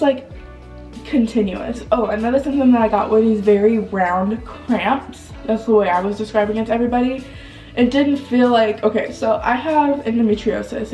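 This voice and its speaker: A woman's voice: mid-range voice, dark tone, echoey room, far from the mic, rough recording. A young woman vlogger with a stuffed-up nose, chatting candidly and casually with lots of filler words. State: congested. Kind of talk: casual vlog chat.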